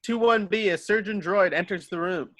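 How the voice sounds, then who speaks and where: Guy reading stage directions, bright crisp-edged highish voice, really dry sound. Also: normal speed, no echo. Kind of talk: scripted screenplay narration.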